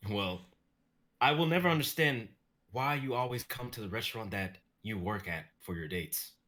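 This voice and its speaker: A man playing a puzzled young restaurant host in a low-key, conversational script reading. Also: expressive, moderate pace, pushed and bright. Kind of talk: dramatic reading of sketch script.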